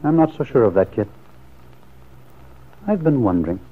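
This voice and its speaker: A man's voice: mid-range voice, sing-song, normal speed. An actor playing a suspicious, brooding man in a classic radio soap opera, earnest and probing, with hesitant phrasing. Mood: doubtful. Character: suspicious, brooding lover pressing for the truth. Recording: muffled lo-fi vintage radio recording.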